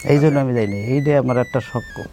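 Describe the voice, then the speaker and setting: A man's voice: medium pitch, brisk, mellow, slight background noise. A man with a Bangladeshi accent speaking plainly and humbly about his own life in colloquial speech, as an interview guest. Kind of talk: plain personal storytelling.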